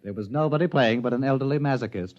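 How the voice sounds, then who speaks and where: A man's voice: medium pitch, fast talker, a little mellow, a little hiss or hum, natural voice. Man playing a wry scientist, muffled old recording. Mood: wry, pleased. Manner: dry, wisecracking, educated phrasing. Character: wry, dry-witted scientist colleague. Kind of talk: 1950s radio drama acting. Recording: dull vintage radio recording.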